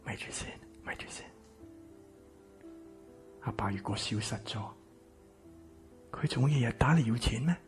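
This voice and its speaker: A man acting a bitter, exploited grown son in a radio drama, with a Hong Kong Cantonese accent and an emotional, breathy delivery. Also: mid-range voice, normal speed, long pauses, close to an everyday voice. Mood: cold, hushed. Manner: emotional, stammering, swinging from shouts to whispers. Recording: music behind the voice.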